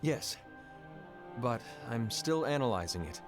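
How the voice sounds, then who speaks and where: A man's voice: mid-range voice, very animated, unhurried. An actor playing a serious, thorough young male apprentice in a game cutscene. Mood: earnest. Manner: earnest, serious, questioning. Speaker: game character. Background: game music.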